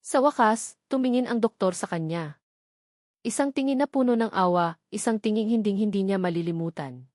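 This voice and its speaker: A woman with a Filipino accent reads a dramatic story in a measured, solemn way, in short phrases. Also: mid-range voice, a little mellow, dry recording, clean studio sound. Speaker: story narrator. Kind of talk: dramatic scripted narration.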